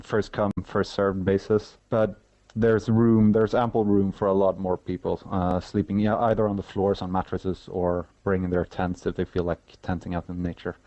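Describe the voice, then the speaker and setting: A man giving practical details as an interview guest, casual and deliberate, a little halting, on a muffled recording. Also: low-pitched, flat delivery, mellow, no room sound. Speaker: interview guest, event organizer. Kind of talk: casual informational interview talk.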